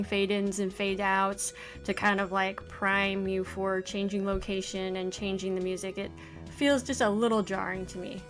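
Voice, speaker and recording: A woman in her forties giving casual, thoughtful game commentary, thinking aloud and hedging as she goes. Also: medium pitch. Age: 40s. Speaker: let's play host, indie visual novel developer. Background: game music.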